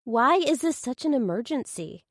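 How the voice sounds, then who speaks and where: A narrator acts out a blunt, sarcastic woman in distress over her sister. She fires challenging, incredulous questions, her pitch lifted, in a dry audiobook recording. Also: very animated, normal speed, breathy edge, no room sound, exaggerated voice. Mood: shocked, pressing.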